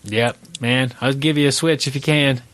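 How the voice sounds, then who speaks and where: A man hosts a casual podcast, sounding a little nasal from allergies. His voice sits at a middle pitch, relaxed and full of filler words, with a dry, close sound. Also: moderate pace, no room sound. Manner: easygoing, laughing, with filler words. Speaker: podcast host.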